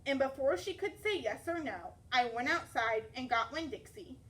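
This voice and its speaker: A woman reading a children's story aloud as a teacher, expressive and deliberate. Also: sits high, distant mic.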